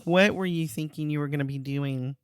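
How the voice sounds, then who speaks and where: A woman's voice: deep voice, brisk, clean tone, no echo, studio quality. A woman hosting a casual podcast interview, encouraging her guest with short affirmations and questions. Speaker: podcast host.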